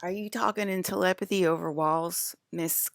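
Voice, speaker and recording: A woman's voice: on the low side, fairly even intonation, moderate pace, slight background noise. A woman in her fifties talking straight to her viewers in a rambling, confrontational monologue, full of fillers and swearing. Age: 50s. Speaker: video blogger.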